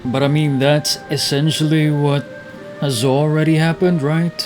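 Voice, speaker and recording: A man's voice: on the high side, flat delivery, a little slow, over loud music. Laid-back young man, game commentary. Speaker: game streamer. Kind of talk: casual game playthrough commentary.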